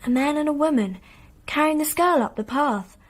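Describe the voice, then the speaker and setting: A woman's voice: high voice, moderate pace, close to an everyday voice. An actress playing a dreamy, trusting young girl in a radio play, speaking with earnest simplicity. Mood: earnest, recounting.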